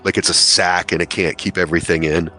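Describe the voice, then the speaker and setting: A man's voice: low-pitched, flat delivery, a little fast, pushed and bright. A man acting as game master, narrating and refereeing a tabletop role-playing game over music. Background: background music.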